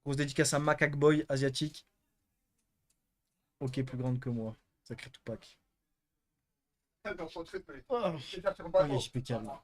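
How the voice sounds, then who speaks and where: A man's voice: medium pitch, a little slow, pauses often. A young man hosting a live stream, chatting casually and answering chat with crude, teasing jokes. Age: young adult.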